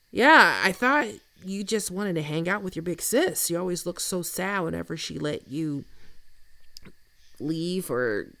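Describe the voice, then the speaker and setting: Woman acting a very creaky, teasing flirt. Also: fairly low pitch, fairly bright tone, dry recording, heavily acted. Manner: casual, teasing, unhurried. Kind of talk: intimate ASMR roleplay acting.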